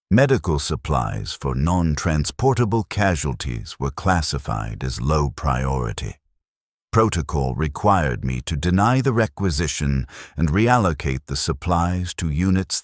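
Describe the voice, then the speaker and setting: A man narrating a scripted first-person war story as a stoic, weary military clerk, in a somber, measured way. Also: a bass voice, no echo, studio quality. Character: weary, stoic military supply clerk recounting wartime losses.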